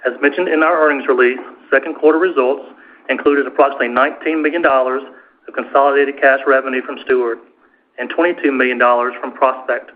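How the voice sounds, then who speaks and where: A man's voice: medium pitch, steady tone, quick pace, a little hiss or hum. A man, a finance executive, reading a scripted financial report on a corporate earnings call. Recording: tinny, narrow phone-line conference call.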